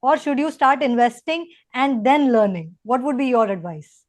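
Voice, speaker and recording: Indian lady interviewer, highish voice, chatty questions to guests. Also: normal speed.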